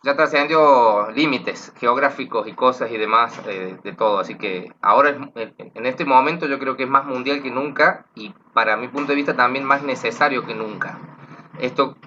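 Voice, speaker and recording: A man's voice: mid-range voice, normal speed, sharp tone, highs cut off, slight background noise. An Argentine man, a tango teacher, explaining earnestly and rambling with repeated words. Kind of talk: explanatory talk.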